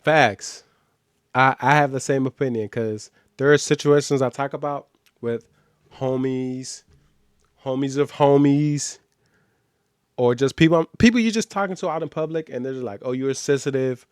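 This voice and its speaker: A man's voice: mid-range voice, normal speed, no room sound, studio quality. A man from Nigeria who grew up in the United States, with an American accent, reacting to a video as a streamer in casual slang and repeating phrases for emphasis. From born in Nigeria, grew up in the United States.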